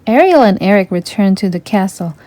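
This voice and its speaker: A woman reads a children's story aloud as its narrator. Her tone is mellow and warm, at a middle pitch. The sound is dry, with very little room in it. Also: lively intonation, fairly quick, no echo.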